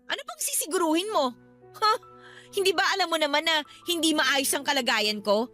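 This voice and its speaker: An actress playing a proud, hurt younger sister in a radio drama, speaking in an accusing, sarcastic manner. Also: female voice, high voice, expressive, fairly quick, bright, forceful tone, soft background music. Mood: hurt, angry. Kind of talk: Tagalog radio drama acting.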